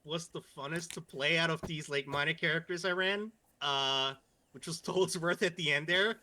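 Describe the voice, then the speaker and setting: A man's voice: a little high, moderate pace, pushed and bright, slight background noise. A man chatting casually as a guest voice actor, often repeating phrases as he stammers along. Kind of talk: casual chat.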